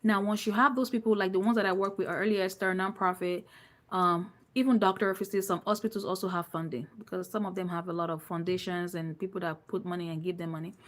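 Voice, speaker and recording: A woman's voice: on the low side, fairly even intonation, fast talker, fairly bright tone. Nigerian-accented woman, emphatic business coach. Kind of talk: informal live online coaching, answering viewer questions.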